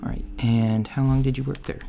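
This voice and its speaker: A man playing a laid-back alien job interviewer in a soft-spoken ASMR roleplay, hesitant and matter-of-fact, with keyboard typing behind him. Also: mid-range voice, flat delivery, fairly quick, gravelly, close to an everyday voice. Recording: faint noise, lo-fi ASMR, keyboard sounds. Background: computer keyboard typing and clicks.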